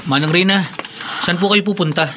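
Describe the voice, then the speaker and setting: High-voiced polite young man. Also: fairly quick, pushed and bright. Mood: polite helpfulness. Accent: Filipino. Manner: respectful, hesitant. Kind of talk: Filipino horror radio drama acting. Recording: clearly noisy ambience behind.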